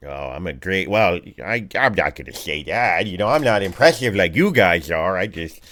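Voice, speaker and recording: A man voicing a boastful, sensual nobleman who rambles in a drawn-out, folksy way during a tabletop game. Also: low voice, animated, fairly bright tone. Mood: smug, halting. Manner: drawn-out, folksy, self-satisfied storytelling.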